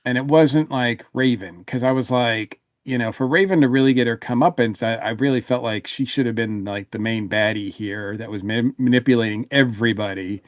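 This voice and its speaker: A man speaking in a halting, think-aloud way as a panelist in a casual podcast review. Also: mid-range voice, dry recording, clean studio sound.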